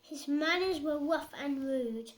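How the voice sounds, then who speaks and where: High-voiced young child reading aloud. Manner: halting early reader, repeats words.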